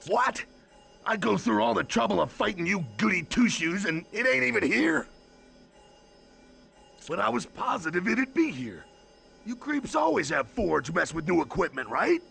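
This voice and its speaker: An actor voicing a brutish, hot-tempered hired supervillain in a video game, with a gravelly, raspy voice and slangy, blustering speech. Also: male voice, high voice, big pitch swings, moderate pace, bright, forceful tone, faint music behind, full character voice. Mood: indignant. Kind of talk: animated video game villain acting.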